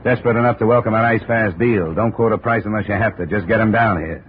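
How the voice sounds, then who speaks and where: An actor playing a hard-boiled, world-weary insurance investigator in a 1950s radio drama, terse, dry and commanding. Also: male voice, on the low side, fast, a little hiss or hum, close to an everyday voice. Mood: brisk, commanding. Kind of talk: 1950s radio detective acting and narration. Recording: muffled 1950 radio recording.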